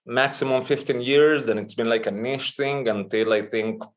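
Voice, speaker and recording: A man in his thirties who grew up between Chile and Israel speaks as a podcast guest. His delivery is casual and informal, telling personal stories in a medium-pitched voice. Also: normal speed, slight background noise. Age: 30s. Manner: informal, mild swearing. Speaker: podcast guest, toy artist. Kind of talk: casual interview chat, personal storytelling.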